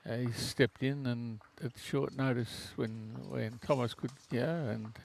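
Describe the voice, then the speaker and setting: A man co-commentating in a relaxed, halting way, restarting phrases often. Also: mid-range voice, creaky, a little hiss or hum. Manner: halting, with many restarts and uh fillers.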